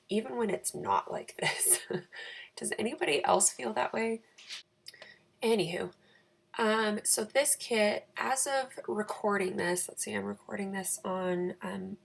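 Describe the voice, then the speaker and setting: Female craft vlogger, raspy and creaky, mid-pitched, chatty and self-deprecating, casual voice-over. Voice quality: raspy, croaky. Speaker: planner channel host.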